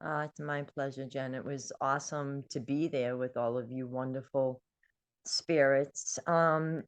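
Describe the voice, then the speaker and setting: An older woman from New England, a guest telling her personal story in an earnest, emotional way with frequent pauses and ums. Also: low voice. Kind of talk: personal testimony.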